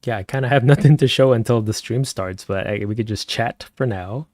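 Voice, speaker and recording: A man chatting casually with viewers on a live stream, speaking softly and keeping his voice down at night at home, and laughing often. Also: a little fast, no echo, clean studio sound. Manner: soft-spoken, keeps voice low at night.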